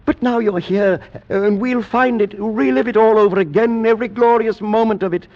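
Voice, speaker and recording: A frail, haunted nobleman with frayed nerves, his fairly high voice theatrical and halting. It is played as vintage radio horror, on a muffled old recording. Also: steady tone. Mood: feverish excitement. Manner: theatrical, halting, swinging between weary and frenzied.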